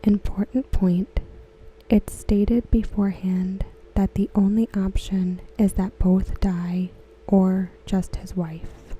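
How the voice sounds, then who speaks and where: A woman softly reading aloud for ASMR in a breathy near-whisper, gentle and unhurried. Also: medium pitch, slight background noise, poor audio. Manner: hushed, gentle, unhurried delivery. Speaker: ASMR creator. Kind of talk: soft-spoken ASMR read-aloud of written comments.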